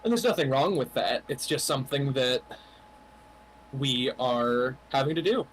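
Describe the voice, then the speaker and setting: A man's voice: mid-range voice, animated, normal speed, slight background noise, low-quality recording. Man explaining game development, casual. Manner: thoughtful, explanatory, with frequent "you know". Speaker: game developer answering questions. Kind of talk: casual livestream chat.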